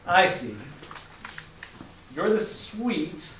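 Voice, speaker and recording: Far from the mic in a roomy space with faint noise, a man playing a reluctant bakery regular grumbles wryly. His pitch moves freely as he doubts everything in a dry stage-reading delivery. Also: very animated, drawn-out words, fairly bright tone, full character voice. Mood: bemused. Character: skeptical, dryly grumbling male customer, a bakery regular. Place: live staged reading in a room.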